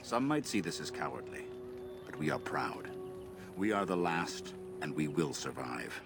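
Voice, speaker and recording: An actor playing a grave, proud elven elder in a video game, speaking in a measured, formal, solemn way. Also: male voice, very deep voice, very animated, vocal fry, heavily acted. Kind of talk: video game dialogue, solemn lore telling. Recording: background music under the voice.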